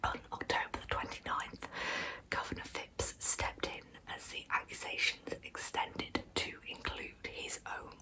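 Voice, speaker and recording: A woman whispering a true crime story as an ASMR host, hushed throughout. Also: measured pace, rough recording.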